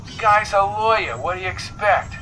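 A man's voice: sits high, lively intonation, a little slow, sharp tone, slight background noise, voice pushed up, full character voice, rough recording. A man voicing a blunt, protective, tough-talking confidant in an audiobook, with a brusque, bossy, colloquial delivery. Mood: wry, brusque.

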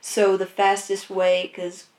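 A woman guest on a podcast, chatting and telling travel stories in a quick, lively way. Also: mid-range voice, roomy sound. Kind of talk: casual chat.

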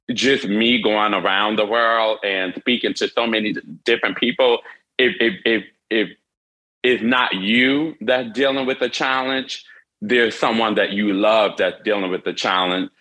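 Earnest man, bright forceful voice. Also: on the low side, low-quality recording. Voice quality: wears hearing aids. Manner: earnest, halting with frequent restarts. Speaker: interview guest. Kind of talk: candid personal storytelling.

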